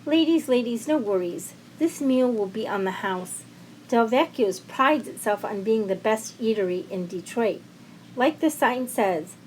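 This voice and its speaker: A woman's voice: fairly high pitch, animated, measured pace, slight background noise, rough recording. A woman voicing a proud, flustered male waiter in an audio story, emphatic and defensive. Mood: reassuring. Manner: stammering and emphatic when challenged.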